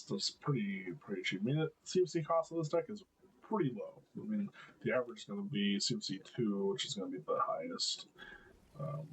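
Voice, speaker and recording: Deep-voiced man thinking aloud. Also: a little hiss or hum, rough recording. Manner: thinks aloud, hesitant, many ums and restarts. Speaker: gameplay video creator. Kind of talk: casual live gameplay commentary.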